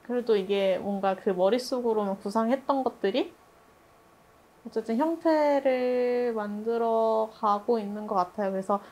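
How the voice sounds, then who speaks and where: A woman's voice: on the high side, fairly even intonation, moderate pace, darkish tone. A woman speaking as a production director in a reflective group discussion, thoughtful and hesitant, often laughing a little as she talks.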